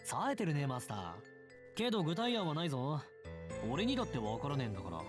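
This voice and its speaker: A man's voice: a little high, wildly swooping pitch, very fast. An actor voicing a flippant, teasing young male servant in a visual novel game, with game music under him. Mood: wry.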